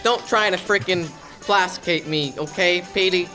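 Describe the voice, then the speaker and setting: An actor playing a stressed, exasperated, melodramatic mob boss in a comedic audio drama. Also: male voice, high-pitched, normal speed, bright, forceful tone, faint music behind. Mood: irritated, defensive. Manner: exasperated, melodramatic mobster talk. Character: stressed, blustering male mob boss secretly running a pizzeria.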